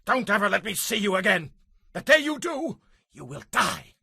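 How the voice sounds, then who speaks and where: An actor playing a proud, stubborn, commanding Egyptian pharaoh in a theatrical Bible audio drama. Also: male voice, high voice, very animated, moderate pace, gravelly, sharp tone, slightly rough, dry recording, clean studio sound, heavily acted. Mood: furious. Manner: pleading or barking royal commands, theatrical.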